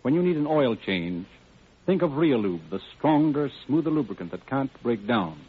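A man's voice: mid-range voice, sing-song, normal speed, husky. A man narrating as the announcer of a 1930s radio drama, in a scripted style. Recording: tinny old radio, music behind. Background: music.

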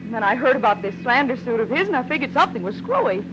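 An actress playing a tough, brassy, nervy dame in 1940s radio noir, with an American accent and snappy, defiant slang. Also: female voice, on the high side, very animated, fast. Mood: guarded, explaining. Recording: muffled, noisy old radio recording.

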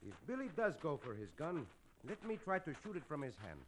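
Radio western lead: suave gunfighter hero, animated melodic delivery, faint noise of vintage recording. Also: male voice, fairly high pitch, a little fast. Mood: earnest. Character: suave Mexican hero gunfighter, calm and noble. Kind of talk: old radio western acting and narration.

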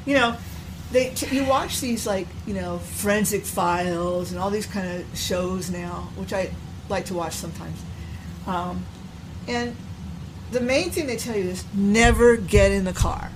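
An older woman recalling her past in a relaxed, unhurried way, pausing to search for words. Her voice sits at a moderate pitch. A constant noise runs beneath her. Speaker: stepmother telling her past. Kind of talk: casual conversational interview. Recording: noticeable background noise.

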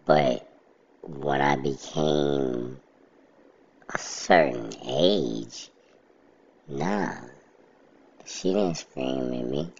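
A man with an extremely deep, gravelly voice talks slowly and evenly in a relaxed monologue. His delivery is plainspoken and level, close to a monotone. The recording sounds dull and lo-fi. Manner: steady, plainspoken, self-described monotone. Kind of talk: casual monologue to camera.

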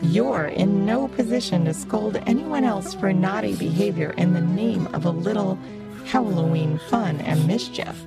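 A woman's voice: mid-range voice, sing-song, unhurried, over loud music, pitched above the performer's own voice. A woman voicing a jolly, grinning male pumpkin trickster who talks in a coaxing, persuasive way. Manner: sing-song, coaxing.

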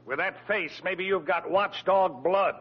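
A man's voice: high voice, measured pace. An actor playing a grumpy, sarcastic older shopkeeper father in an old radio comedy. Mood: exasperated, sarcastic. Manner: exasperated, dry sarcasm. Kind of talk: 1940s radio comedy acting. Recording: muffled, noisy old radio recording.

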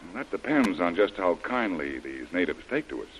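An American actor playing a tough, commanding adventurer in an old-time radio drama, giving brisk, impatient orders. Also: male voice, deep voice, very animated, low-quality recording. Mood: resolute, businesslike. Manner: brisk, authoritative, clipped orders. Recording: old radio broadcast with faint noise.

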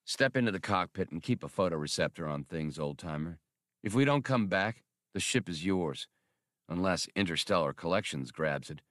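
A man's voice: low voice, animated, normal speed, fairly bright tone, dry recording, studio quality. A man voicing a cynical, wry starship smuggler captain with sardonic, grudging remarks, in an audiobook. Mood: wry, resigned.

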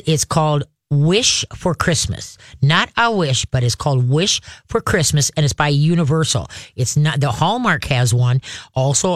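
A man hosts a radio show in a chatty, rambling way, with a voice a little higher than most men's. He talks straight into a studio mic, and the sound is dry and close with no room around it. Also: fairly bright tone, no echo. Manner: chatty, rambling, laughs at own remarks, frequent fillers. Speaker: radio show host on dogs. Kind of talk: casual radio talk monologue. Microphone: studio microphone.

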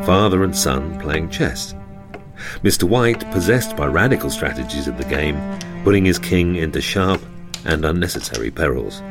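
A man narrating a scripted audio drama like a storybook, in a measured, atmospheric way. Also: rumbling low. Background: music.

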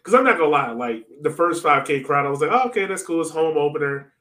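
A man's voice: on the high side, animated, fast, fairly bright tone, reverberant. A man hosting a podcast, chatting casually about sports in an informal, slangy way. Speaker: podcast host.

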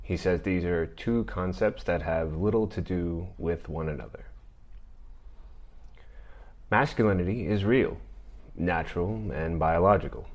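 Deep-voiced man, measured commentary. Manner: measured, thoughtful delivery with occasional fillers. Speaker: podcast host. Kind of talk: reading and commenting on an argument.

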